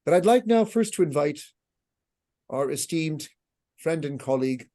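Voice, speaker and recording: A man with an American accent, hosting an awards ceremony with a measured, earnest, grave speech. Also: a little high, lively intonation, warm tone. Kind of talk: solemn formal speech. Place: online video event.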